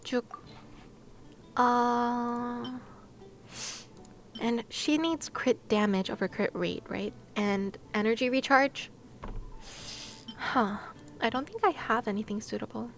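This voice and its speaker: Girl streamer chatting while gaming, kinda high voice, giggly n playful, music in the back. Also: takes it slowly, long pauses, fairly bright tone. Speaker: game livestreamer. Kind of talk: casual livestream chat while gaming, talking to viewers.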